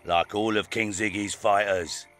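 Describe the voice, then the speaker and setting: An actor playing a boastful, scheming fight promoter in a video game, with a grandiose way of talking. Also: male voice, lowish voice, steady tone, unhurried, fairly bright tone, a little hiss or hum. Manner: grandiose, speaks of himself in third person.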